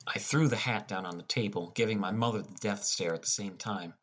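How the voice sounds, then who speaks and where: A young man reading a scripted first-person audiobook as a depressed high school boy. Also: a little fast, fairly bright tone, slightly rough. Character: depressed, irritable high school boy telling his story. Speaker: audiobook narrator.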